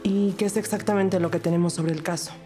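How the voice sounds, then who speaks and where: A low-pitched female investigator, played by an actress, tells and lives out a crime case in an audio drama. She is earnest and inquisitive, with music clearly playing behind her. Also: steady tone, fairly quick, natural voice. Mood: questioning. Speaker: narrator and detective character. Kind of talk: scripted podcast crime drama with first-person narration.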